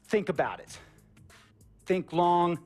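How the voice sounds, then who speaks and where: Casting: money-hungry American team owner, menacing and theatrical, unhurried speech over a light music bed. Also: male voice, high voice. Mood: cold, threatening. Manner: theatrical, menacing, deliberate, swinging from hushed to shouting. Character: domineering, money-driven male pro basketball team owner lecturing a player. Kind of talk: dramatic cinematic monologue. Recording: music under the voice.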